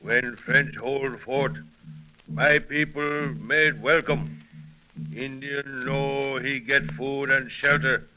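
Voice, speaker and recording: An actor playing a proud, grave war chief who speaks terse, halting broken English in a 1930s radio drama. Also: male voice, medium pitch, steady tone, over music, lightly acted, low-quality recording. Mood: stern, aggrieved.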